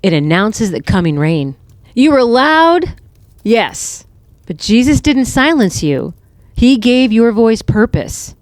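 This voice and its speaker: A woman playing a wise, tender older mother in an audio drama, speaking in a gentle, reassuring and earnest way. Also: on the high side, sing-song, slow, dry recording. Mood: fond, reassuring.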